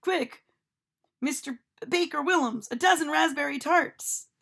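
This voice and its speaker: A woman's voice: high voice, unhurried, creaky, a bit bright, voice pushed up, full character voice. A woman voicing a spry, bossy old lady from a storybook, exclaiming and making demands. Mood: demanding.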